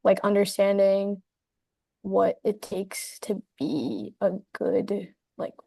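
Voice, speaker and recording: A woman's voice: medium pitch, level tone, slow pace, vocal fry, dark tone, no echo. A young woman, a university student panelist, rambling casually with many fillers and restarts. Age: young adult.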